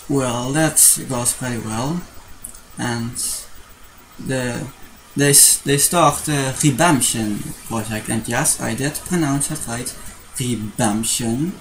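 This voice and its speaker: A young Dutch-accented man talks slowly through a casual bedroom vlog, picked up by a desk webcam. His voice has a gravelly, fry-laden edge, and he keeps restarting sentences between fillers. A faint hiss sits under the recording. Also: mid-range voice, low-quality recording. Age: young adult. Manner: rambling, restarts often, hesitant fillers. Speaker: vlogger. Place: small bedroom with posters.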